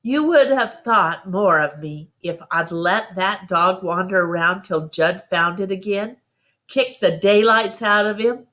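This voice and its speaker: A woman's voice: medium pitch, a little slow, sharp tone. A woman reading the part of an earnest, stubborn eleven-year-old country boy in a storybook, pleading in folksy phrases. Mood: defiant.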